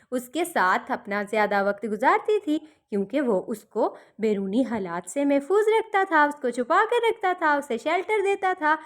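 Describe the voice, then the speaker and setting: Female children's storyteller, high voice, simple explanatory fairy tale narration.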